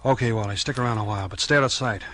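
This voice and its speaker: An actor playing a blunt small-town American police chief in a 1940s radio mystery, with clipped, slangy, questioning talk. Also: male voice, fairly low pitch, very fast, fairly bright tone, slight background noise, close to an everyday voice. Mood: brisk. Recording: vintage 1940s radio recording.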